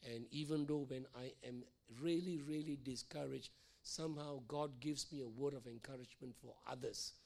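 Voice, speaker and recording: Male pastor, middle-aged, hoarse and gravelly, repetitive teaching sermon in church. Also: medium pitch, steady tone, normal speed, darkish tone. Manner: repeats phrases, frequent "all right?" and "okay?" checks. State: hoarse, losing his voice.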